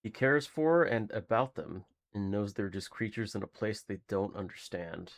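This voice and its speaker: A man's voice: lowish voice, normal speed. A man hosting a reaction video, commenting in an informal, musing way. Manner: informal, musing, mild swearing.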